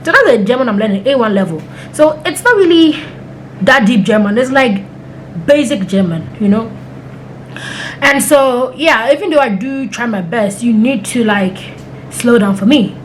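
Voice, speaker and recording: A woman's voice: on the high side, expressive, normal speed, slight background noise. A young woman from Ghana, chatting and rambling through stories to her camera. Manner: chatty, rambling storytelling with acted-out quotes. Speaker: vlogger. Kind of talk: casual chat to camera.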